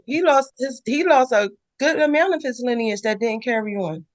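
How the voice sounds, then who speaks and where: Higher-pitched woman, casual and dry-sounding. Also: moderate pace, no echo. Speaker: participant in a Bible read-along discussion. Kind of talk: casual scripture discussion.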